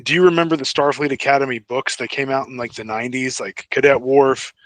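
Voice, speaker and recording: A man's voice: mid-range voice, steady tone, fairly quick, fairly bright tone, dry recording. Man chatting casually, dry close sound. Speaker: podcast co-host. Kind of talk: casual fan chat.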